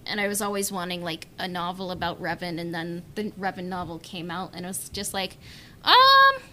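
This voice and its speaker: A woman's voice: on the low side, big pitch swings, moderate pace, pushed and bright, a little hiss or hum. A woman hosting a podcast in a casual, chatty style. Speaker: podcast host. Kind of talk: casual chat.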